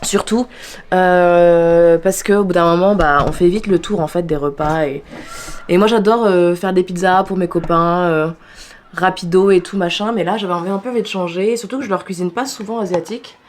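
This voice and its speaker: A woman's voice: lowish voice, moderate pace, darkish tone, slight background noise. A woman in her thirties from France, chatting casually into her camera as a vlogger and chef, with lots of fillers and laughs. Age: 30s. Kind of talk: casual vlog chat.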